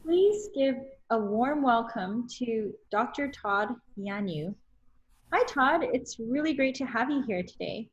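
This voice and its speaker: A woman's voice: a little high, lively intonation, slow pace, dull audio. A woman hosting an interview show, reading a polished introduction and asking questions in an upbeat, emphatic way. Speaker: host.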